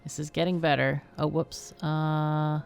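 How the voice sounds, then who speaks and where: A woman's voice: low voice, little pitch movement, a little slow, creaky, darkish tone, smooth, soft background music. A woman hosting a game livestream, chatting casually and thinking aloud as she plays. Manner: thinks aloud while playing. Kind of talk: casual gameplay chat.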